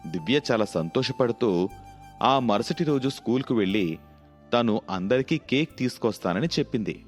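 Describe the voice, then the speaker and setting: A man's voice: medium pitch, lively intonation, moderate pace, soft background music. A man narrating a scripted moral story as a storybook narrator.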